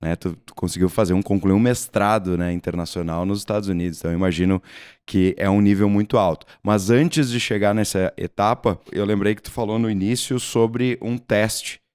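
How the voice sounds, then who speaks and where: A man's voice: fairly low pitch, steady tone, fairly quick, a little mellow, clean tone. A man hosting a podcast, encouraging his guest and prompting him with questions. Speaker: podcast host. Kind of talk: casual interview chat.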